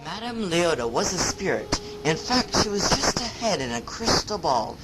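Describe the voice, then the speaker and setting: A storyteller narrating a scripted, spooky first-person tale in a measured storybook manner. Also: medium pitch, sing-song, low-quality recording. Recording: loud music under the voice. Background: loud music.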